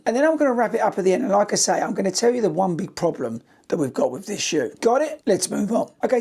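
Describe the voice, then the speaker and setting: A British man, a running coach, talking casually to camera in a shoe review while nearly losing his voice, croaky and hoarse. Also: high voice, dark tone. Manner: chatty, cheeky, direct to viewers.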